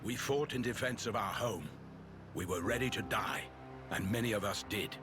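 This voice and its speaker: Deep, grave, world-weary soldier played by a man. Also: moderate pace, music in the background, natural voice. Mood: sorrowful. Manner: blunt, grave, resigned. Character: grieving, weary, gruff middle-aged guard veteran. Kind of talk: video game voice acting.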